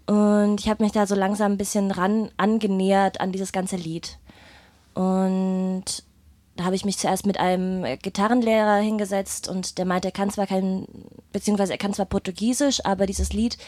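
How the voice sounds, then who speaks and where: A woman's voice: mid-range voice, level tone, moderate pace, warm tone, no echo. A woman chatting casually as an interview guest, telling her story in a hesitant, thoughtful way. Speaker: guest performer, singer and guitarist. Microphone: microphone.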